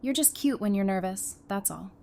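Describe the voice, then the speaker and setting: A woman playing a caring, gently teasing young survivor in an audio drama. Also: medium pitch, lively intonation, moderate pace, gravelly. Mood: affectionate. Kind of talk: fan audio drama acting.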